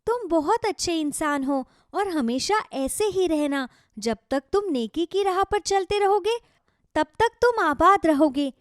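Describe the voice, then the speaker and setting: A woman acts out a magical talking plant from a children's moral cartoon. The voice is high and very melodic, with a benevolent, advising manner. It sounds close and dry. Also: animated, unhurried, no echo. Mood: kindly, admonishing. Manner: benevolent, solemnly advising, speaks as "we". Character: kindly magical talking tomato plants granting wishes.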